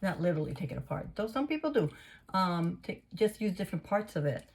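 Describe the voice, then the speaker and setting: A woman hosting a casual craft tutorial, chatting through each step and a little hoarse and sniffly. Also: lowish voice, sing-song, quick pace, darkish tone. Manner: chatty, narrates each step, gushes over results. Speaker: craft video host.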